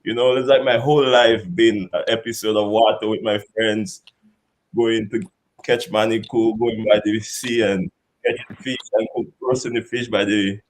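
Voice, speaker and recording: Dominican man, low voice, relaxed chef guest. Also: moderate pace, low-quality recording. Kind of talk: interview answers.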